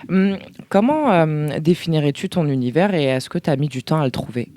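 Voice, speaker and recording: A male host runs a casual interview, putting informal questions in an easygoing tone. His voice sits a little higher than most men's. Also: expressive, moderate pace, darkish tone, dry recording.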